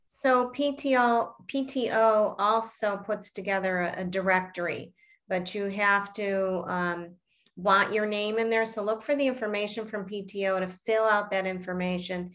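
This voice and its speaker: A woman's voice: mid-range voice, normal speed, a bit bright. A woman school staff member speaking in an online parent session, friendly and helpful.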